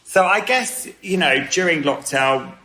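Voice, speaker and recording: A man from southern England talks in quick, filler-heavy bursts as an interview guest. His voice is bright and forceful. It sounds roomy, as if he were speaking over a remote call with faint noise behind him. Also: on the high side, expressive. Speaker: guest hairstylist and educator. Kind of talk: casual podcast interview. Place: holiday villa, indoors.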